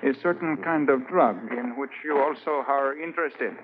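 An actor playing a hesitant, fawning French-Canadian go-between in a 1950s radio drama. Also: male voice, mid-range voice, normal speed, close to an everyday voice. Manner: hesitant, fawning, full of uhs. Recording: tinny, hissy 1950s radio transcription.

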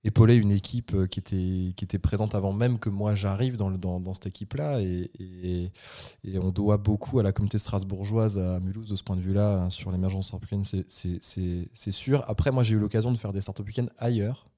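A man's voice: fairly low pitch, fairly even intonation, a little fast, warm tone. A man speaking as a podcast guest about supporting startups, talking eagerly with many restarts. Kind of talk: podcast conversation.

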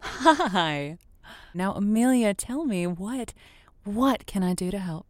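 A woman's voice: mid-range voice, expressive, slow, no echo, clean studio sound. A woman playing an effusive, encouraging romance advice host on a radio call-in show, with emphatic phrasing, in a semi-improvised audio drama.

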